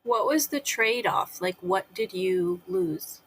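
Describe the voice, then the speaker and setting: A woman interviewing a guest, asking gentle, probing follow-up questions. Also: mid-range voice, animated, slow, slightly rough, rough recording. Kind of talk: conversational interview.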